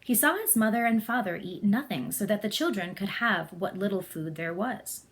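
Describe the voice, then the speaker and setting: Female storybook reader, mid-pitched, for read-aloud narration of a tale. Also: lively intonation, moderate pace, a bit bright.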